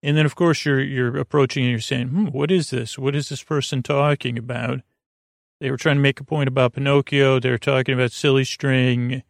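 Creaky, meandering bedtime podcast man. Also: mid-range voice, fairly even intonation, no echo, studio quality. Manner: meandering, halting, stammering, repeating words; soothing, lulling. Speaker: podcast host. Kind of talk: rambling bedtime monologue to lull listeners to sleep.

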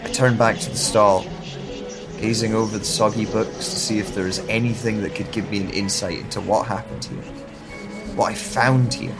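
A man with a low voice narrates in a slow, hushed, introspective first person. A music score plays clearly beneath him. Rain falls behind the voice. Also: fairly even intonation.

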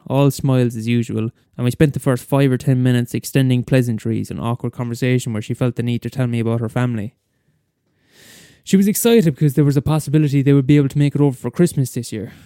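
A man's voice: mid-range voice, mellow, no room sound, studio quality, lightly acted. A man playing a bitter, weary middle-aged schoolteacher in a wry, wordy confessional story monologue. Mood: uneasy, resigned. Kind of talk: first-person dramatic monologue.

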